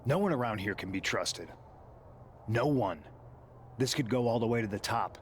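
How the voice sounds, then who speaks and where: An actor playing an embittered, vengeful veteran wrestler in a video game cut-scene, terse and accusatory. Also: male voice, mid-range voice, lively intonation, normal speed, slight background noise, lightly acted. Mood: suspicious.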